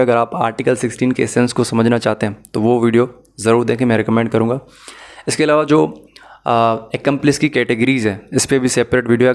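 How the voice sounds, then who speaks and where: Pakistani man, methodical law lecturer. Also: mid-range voice. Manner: methodical, step-by-step explaining. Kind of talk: explanatory lesson mixing Urdu and English.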